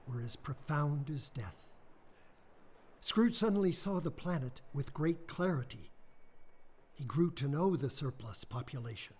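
A man narrating a radio play in a measured, solemn way. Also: fairly high pitch, darkish tone, slightly rough. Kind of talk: scripted storybook narration.

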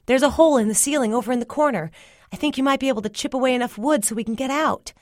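A woman's voice: high-pitched, expressive, moderate pace, no room sound, studio quality, pitched above the performer's own voice. A woman voicing a frightened young girl in an audiobook, with breathless, urgent exclamations. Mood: panicked, pleading. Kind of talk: audiobook character dialogue.

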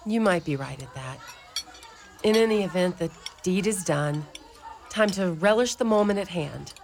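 A woman playing a stern but tender elderly mother superior in a community radio play, brisk and commanding. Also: lowish voice, animated, a little mellow, a little hiss or hum. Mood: wistful.

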